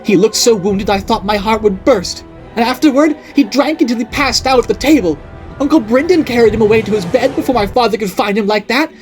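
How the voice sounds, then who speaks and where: A woman voicing an unhinged, jealous middle-aged noblewoman who rants and accuses in a shrill voice. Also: fairly quick, a little mellow, faint music behind, close to an everyday voice. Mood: ranting. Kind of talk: audiobook character voice.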